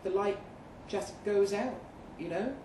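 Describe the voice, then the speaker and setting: A man voicing a resolute, world-weary older woman with a smooth, emphatic delivery in a short story reading. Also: high-pitched, slow, pitched above the performer's own voice. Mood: bleak. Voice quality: smooth-toned. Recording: roomy, distant live venue recording, faint noise.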